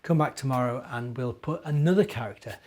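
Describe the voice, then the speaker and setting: A middle-aged man, a minister, telling stories to young children and chatting to them directly with gentle questions. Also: mid-range voice, fairly quick. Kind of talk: children's storytelling and gentle chat.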